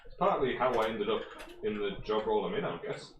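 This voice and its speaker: A man's voice: fairly low pitch, expressive, quick pace, reverberant, background noise. A man from Birmingham, co-hosting a casual PC building livestream, chatting and laughing and reading chat questions aloud. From Birmingham, England. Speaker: co-host of a PC building stream.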